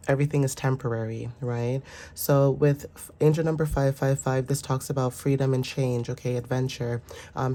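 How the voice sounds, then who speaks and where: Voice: mid-range voice, mellow. A tarot reader with a sinus infection, congested and stuffy, giving a casual, rambling, reassuring reading full of fillers. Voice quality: stuffy, nasal.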